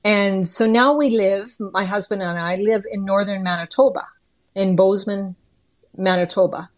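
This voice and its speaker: A woman's voice: mid-range voice, fairly even intonation, moderate pace. A middle-aged or older woman from northern Ontario with a Canadian accent, chatting casually as an interview guest in a folksy, plain-spoken way.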